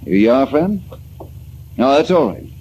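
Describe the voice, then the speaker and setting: An actor playing a friendly, steady ranger leader in an old time radio drama, explaining things calmly. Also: male voice, medium pitch, unhurried, muffled recording, noisy background. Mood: friendly, explanatory.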